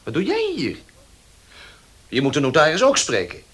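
An actor playing a brisk, forthright young gentleman in a Dutch radio play. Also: fairly high pitch, husky, exaggerated voice. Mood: surprised, animated. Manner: animated, forthright. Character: brisk, surprised young gentleman, old acquaintance.

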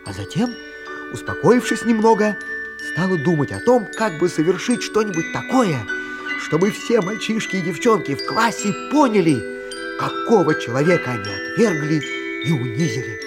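A man's voice: high voice, very animated, normal speed, music in the background. A man acting as the author's wry narrator, telling a children's radio play expressively. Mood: knowing.

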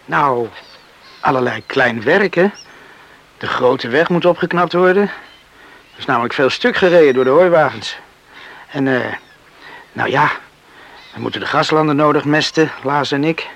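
A man's voice: on the high side, a little slow, vocal fry, long pauses, a bit bright. A man acting in a Dutch radio play as an earnest, tender, hardworking young farm man in love. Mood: matter-of-fact, busy. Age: young adult.

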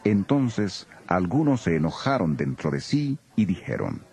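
A man's voice: low voice, sing-song, unhurried, gravelly, a little mellow. A man narrating a dramatized scripture recording as a solemn storyteller.

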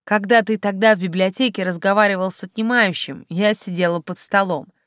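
A woman voicing a cheeky, quick-witted young bride-to-be in an audiobook. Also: medium pitch, fairly even intonation, fairly bright tone, dry recording, studio quality, close to an everyday voice. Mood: quiet. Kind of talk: audiobook character acting.

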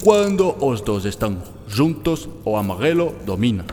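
A man's voice: mid-range voice, sing-song, moderate pace, mellow, slight background noise, lightly acted. Man playing German-accented eccentric scientist in reverberant hall. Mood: excited, playful. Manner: didactic, peppers speech with German words. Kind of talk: scripted radio drama dialogue. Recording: long hall reverb, music bed.

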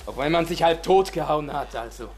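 Radio drama role, male actor: defiant young village man, sarcastic and halting, noisy ambience behind. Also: fairly high pitch, lively intonation, natural voice. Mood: bitter, sarcastic. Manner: sarcastic, halting, emphatic with abrupt swings.

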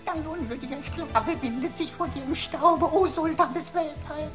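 A woman plays a little talking computer, pitched high and full of whine. It grovels in flowery, pompous phrases and stammers theatrically, in radio drama style with music underneath. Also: moderate pace, lightly acted. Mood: grovelling, wailing. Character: whiny, florid, servile little talking computer sidekick.

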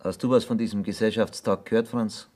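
An actor playing a knowing villager with a Bavarian accent, wry and probing, in a radio play. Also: male voice, fairly low pitch, steady tone, brisk, darkish tone, natural voice. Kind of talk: 1970s German radio play acting.

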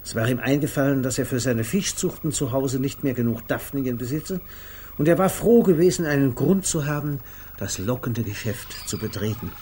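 A man narrating a literary 1950s radio play in a measured, deliberate, storytelling way. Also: mid-range voice, a little mellow. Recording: faintly noisy vintage radio recording.

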